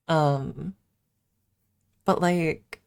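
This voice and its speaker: A woman's voice: low-pitched, gravelly, darkish tone, smooth. A woman streamer chatting casually on an ASMR stream, rambling and candid as she explains things, close to the microphone. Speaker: livestream host and ASMR streamer.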